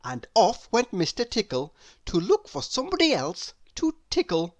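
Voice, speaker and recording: A woman reading a children's story aloud expressively, addressing young listeners with dramatic pauses. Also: mid-range voice, wildly swooping pitch.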